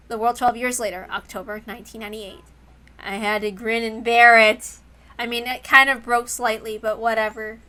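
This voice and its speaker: Bright, high-voiced woman reading aloud. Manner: chatty, informal between passages. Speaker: streamer reading a visual novel. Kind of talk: read-aloud narration with casual asides. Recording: music behind the voice.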